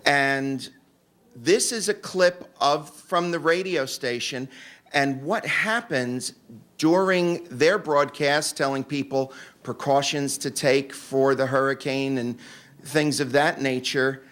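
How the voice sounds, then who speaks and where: A man presenting to a convention audience in a relaxed, joking manner with fillers. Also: medium pitch, slow pace. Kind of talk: casual talk to an audience. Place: convention room.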